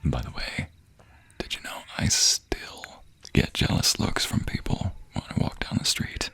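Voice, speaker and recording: A man's voice: a little slow, bright, forceful tone, in a whisper. A young man playing a devoted, teasing boyfriend in a romantic audio roleplay, murmuring affectionately close to the microphone. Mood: adoring. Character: playful, devoted young boyfriend, a villager with a secret. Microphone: close binaural microphone.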